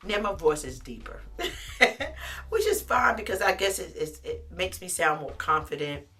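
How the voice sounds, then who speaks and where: Chatty middle-aged woman with a deep, bright voice. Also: a little slow. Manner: chatty, animated, calls the viewer honey. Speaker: lifestyle vlogger. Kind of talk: casual talk to camera.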